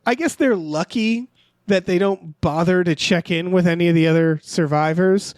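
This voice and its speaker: A man's voice: sits high, normal speed, no room sound, clean studio sound. A man co-hosting a podcast in a casual, comedic recap style, rambling and incredulous, with some offhand swearing. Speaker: podcast co-host.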